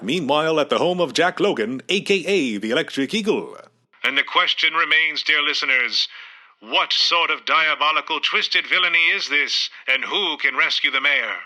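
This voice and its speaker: A man's voice: fairly high pitch, expressive, moderate pace, pushed and bright. Dramatic male radio-serial announcer. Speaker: narrator. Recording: radio drama with background hiss.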